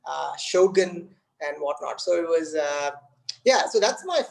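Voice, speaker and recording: Halting Indian English man, Tamil speaker. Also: fairly high pitch, animated, gravelly. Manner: halting, many restarts and fillers, storytelling. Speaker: guest game developer. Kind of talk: casual conversation.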